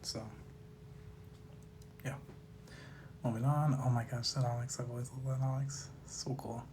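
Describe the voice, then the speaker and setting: A man with a slightly raspy voice chats casually to viewers as a host, musing in a laid-back way and trailing off mid-thought. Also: medium pitch, flat delivery, unhurried, background noise. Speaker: host of a card collecting video series. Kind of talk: casual rambling commentary to viewers.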